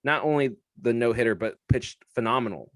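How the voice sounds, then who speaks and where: A man's voice: medium pitch, a little fast, fairly bright tone, no room sound. A young man co-hosting a casual sports podcast, chatty and laughing often as he talks. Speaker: podcast co-host.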